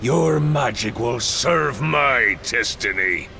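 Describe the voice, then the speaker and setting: An actor playing a ruthless, ambitious pirate king in a video game, gravelly, menacing and scornful. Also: medium pitch, animated, takes it slowly, sharp tone, slight background noise, exaggerated voice. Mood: proud, menacing. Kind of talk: dramatic video game cutscene acting.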